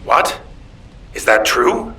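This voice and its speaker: A man playing a hot-headed, blunt soldier in a sci-fi game. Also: slow pace. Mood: incredulous. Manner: forceful, blunt.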